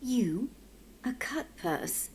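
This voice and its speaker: An actress playing a forthright, compassionate companion in a video game, with a direct, questioning manner. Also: female voice, fairly high pitch, animated, extremely slow, a little mellow, slight background noise. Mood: curious, direct.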